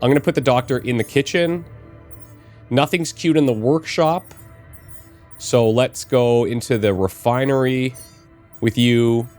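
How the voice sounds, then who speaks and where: A man with a slight cold and a touch of rasp talks through his game plan, thinking aloud with plenty of fillers. Faint music plays underneath. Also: mid-range voice, a little slow, long pauses, darkish tone. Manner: musing aloud, planning with fillers. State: slight cold, a bit raspy. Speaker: let's play host. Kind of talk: casual gameplay commentary.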